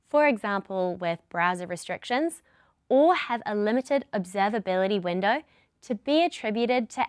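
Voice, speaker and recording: A woman's voice: medium pitch, dry recording, studio quality. A woman with a New Zealand accent giving a scripted business training presentation as a product lead.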